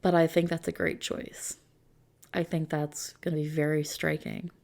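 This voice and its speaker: A woman doing an ASMR roleplay as a customization consultant, talking softly and unhurriedly to a customer in a gently explanatory, reassuring way. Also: low voice, little pitch movement, a little slow, gravelly. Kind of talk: ASMR roleplay, soft customer-service talk.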